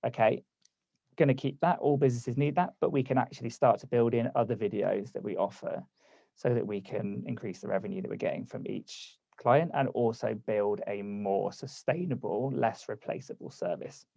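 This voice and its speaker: Gravelly guy running a zoom workshop, losing his voice, dark tone, kinda dull dry sound. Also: mid-range voice, moderate pace, no echo. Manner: casual, conversational, reads chat aloud. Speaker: workshop host. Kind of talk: live online workshop, coaching. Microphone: video call.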